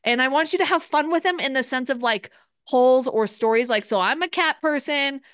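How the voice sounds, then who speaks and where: A woman's voice: sits high, normal speed, fairly bright tone, clean tone, no room sound, studio quality. A woman coaching people in a live group session, speaking in a direct and encouraging way. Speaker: business coach.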